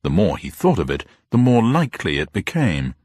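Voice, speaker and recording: A man's voice: deep voice, big pitch swings, moderate pace, darkish tone, slightly rough, no room sound, studio quality. A man narrating a scripted mystery story in a dramatic style.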